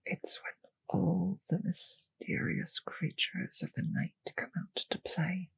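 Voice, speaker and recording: Breathy, seductive vampire mistress, acted by a woman. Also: fairly low pitch, level tone, dry recording, heavily acted. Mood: tender, hypnotic. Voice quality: breathy, often slipping into whispers. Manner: slow coaxing, teasing, commanding. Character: seductive, possessive female vampire mistress with hypnotic hold. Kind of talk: intimate ASMR audio roleplay. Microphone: close microphone, dry.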